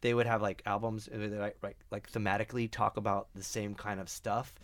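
A man's voice: lowish voice, little pitch movement, a little fast, dry recording. A man chatting casually on a podcast, rambling with lots of fillers and restarts and swearing now and then. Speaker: band member, podcast co-host. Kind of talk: casual chat.

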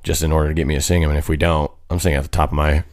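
Guy with a super deep voice, easygoing drawl, dry close sound. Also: level tone, rapid-fire, darkish tone, no room sound. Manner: laid-back, drawling, says "like" and "you know" a lot. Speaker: country singer, guest. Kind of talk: casual chat.

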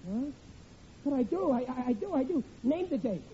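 A man's voice: very high-pitched, animated, moderate pace. A man playing a good-natured, hesitant young store clerk with slangy American speech in an old radio comedy. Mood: earnest, flustered. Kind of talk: old-time radio sitcom acting. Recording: muffled, noisy vintage radio recording.

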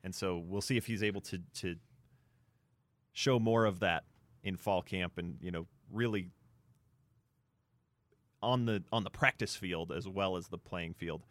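A man's voice: low-pitched, measured pace, long pauses, a bit bright, no echo. A man co-hosting sports talk, giving conversational analysis in a hesitant, filler-heavy way. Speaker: sports show co-host.

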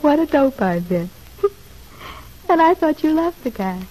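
A woman's voice: sits high, sing-song, a little slow, a little mellow, rough recording. A wisecracking woman convict in an old radio serial. She talks in a chatty, slangy way and loves to needle, with a mocking edge to her teasing. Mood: sardonic, probing. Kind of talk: old-time radio drama acting.

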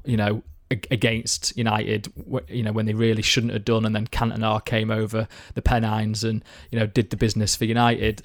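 A man's voice: mid-range voice, darkish tone, dry recording, clean studio sound. A young man from the Manchester area chatting casually about football, rambling with lots of fillers and restarts. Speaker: football podcast guest. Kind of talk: casual chat.

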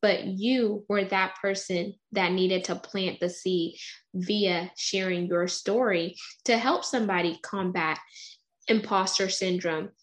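A woman's voice: medium pitch, steady tone, takes it slowly. A woman hosting an interview, responding with enthusiastic, motivational phrasing. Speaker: interview host.

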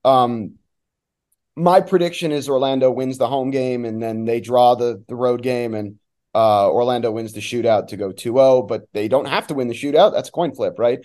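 A man's voice: mid-range voice, moderate pace, darkish tone. A man giving casual, opinionated sports analysis as an interview guest, in an informal conversational way. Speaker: guest, soccer play-by-play announcer.

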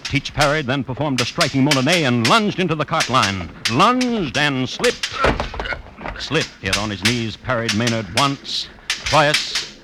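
An actor playing a dry, deadpan crime chronicler narrating a 1950s radio show with measured storytelling. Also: male voice, mid-range voice. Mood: droll, deadpan. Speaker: host and narrator. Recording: hissy old radio broadcast.

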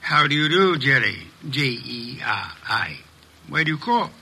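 An actor playing an imperious older master detective with a formal, dryly mocking manner, in 1950s radio drama style. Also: male voice, medium pitch, big pitch swings, measured pace, creaky, sharp tone, breathy edge, full character voice. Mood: formal, curious. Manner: formal, dry, commanding.

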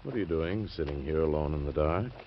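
An earnest devoted husband. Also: male voice, a bass voice, animated, a little fast, slight background noise. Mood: tender. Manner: tender, earnest. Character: devoted husband, honorable cabinet member. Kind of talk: 1940s radio acting. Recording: dull lo-fi old radio recording.

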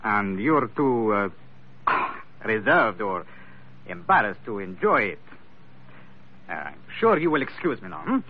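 An actor playing a suave, courteous villain with formal, hesitant politeness in an old radio drama. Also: male voice, fairly low pitch, big pitch swings, a little slow, vocal fry, long pauses, heavily acted. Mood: polite, oily. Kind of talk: 1940s radio acting. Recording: tinny, noisy old radio recording.

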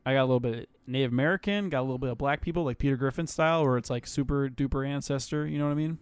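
A man's voice: mid-range voice, rapid-fire, smooth. A young man co-hosting a podcast, goofy and joking, laughing often. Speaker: podcast co-host. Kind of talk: casual podcast chat.

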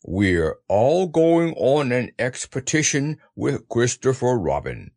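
A man voicing a friendly, slow-witted toy bear in a hesitant, good-natured storybook manner. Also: medium pitch, very animated, a little mellow, no room sound, studio quality, heavily acted. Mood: happy, friendly.

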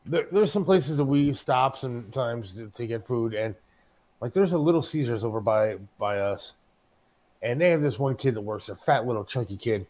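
A man's voice: mid-range voice, animated, normal speed, darkish tone. A middle-aged American man co-hosting a talk show, griping casually and emphatically, with some swearing. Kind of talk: casual, opinionated chat.

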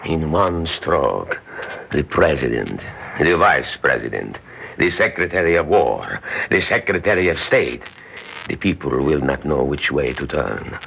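An actor in an old-time radio drama playing a calculating male conspirator who speaks in a measured, formal, deliberate way. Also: a bass voice, expressive, gravelly, husky, heavily acted. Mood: flattering, measured. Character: smooth-talking, calculating male conspirator, secretly an examining official. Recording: dull, muffled old radio recording.

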